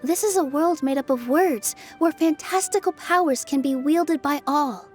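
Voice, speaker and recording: A woman playing a gentle young dancer. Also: high voice, normal speed, soft background music, close to an everyday voice. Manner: gentle, encouraging.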